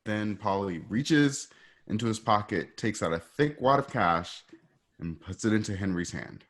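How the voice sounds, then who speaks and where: A man's voice: fairly low pitch, animated, unhurried, fairly bright tone. A man casually narrating scene directions for a radio play, describing the action as it unfolds. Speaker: narrator reading stage directions.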